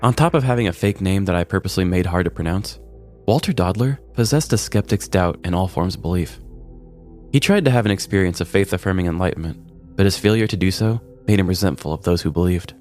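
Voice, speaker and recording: Male podcast storyteller, low voice, wry mock-serious delivery, scripted comedy narration, background music. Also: fast talker, darkish tone. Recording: music bed behind the voice.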